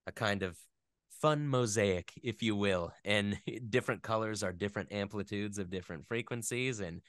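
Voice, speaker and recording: A man in a casual interview, explaining things in a halting, conversational way as a guest expert. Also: fairly low pitch, a bit bright, no room sound, studio quality. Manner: halting, filler-heavy explaining with playful sound imitations. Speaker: interview guest, game audio editor and voice actor.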